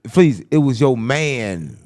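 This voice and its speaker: A man hosting a casual interview, asking teasing, incredulous questions and breaking into loud exclamations. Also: medium pitch, very animated, takes it slowly. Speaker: interviewer, host.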